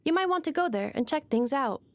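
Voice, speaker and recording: A woman's voice: sits high, lively intonation, fairly quick, dry recording. A woman voicing a polite, dutiful female robot in a video game, formal and precise in manner. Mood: polite, composed. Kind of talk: scripted video game voice acting.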